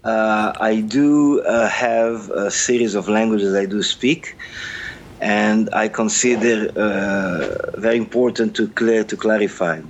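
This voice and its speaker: A middle-aged man with a Brazilian Portuguese accent, a journalist answering interview questions in a halting, earnest way, often repeating words as he searches. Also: lowish voice, lo-fi sound, slight background noise. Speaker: journalist guest.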